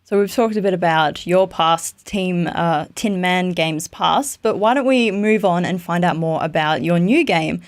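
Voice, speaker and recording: A woman's voice: fairly low pitch, a little slow, hardly any pauses. A woman hosting an interview show, introducing and questioning a guest in a conversational style.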